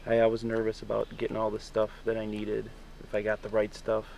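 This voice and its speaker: Gravelly-voiced man talking by a lake. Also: lowish voice, monotone, fairly quick, darkish tone, distant mic, slight background noise. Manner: earnest, reflective, a little hesitant. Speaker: first-time canoe camper. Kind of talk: casual outdoor video diary. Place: outdoors in a pine forest by a lake.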